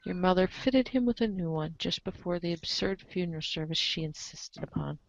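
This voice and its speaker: A woman narrating a comic story aloud in an expressive read-aloud style, on a slightly dull recording. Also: lowish voice, moderate pace, gravelly. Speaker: narrator of a group webcomic reading.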